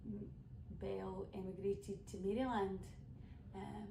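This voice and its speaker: A woman's voice: mid-range voice, level tone, slow pace, vocal fry. Chatty Scottish woman in a roomy space. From west coast of Scotland. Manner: chatty, rambling storyteller with many fillers. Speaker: folk singer introducing her songs. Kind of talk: casual spoken introduction to an online showcase. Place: home room streaming online. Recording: roomy, distant mic with background noise.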